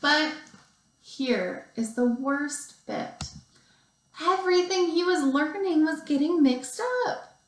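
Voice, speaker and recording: A woman teacher reading a picture book aloud to young children, expressively, with deliberate pauses and stretched emphasis. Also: high voice, lively intonation. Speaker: second grade teacher. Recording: roomy, echoey sound.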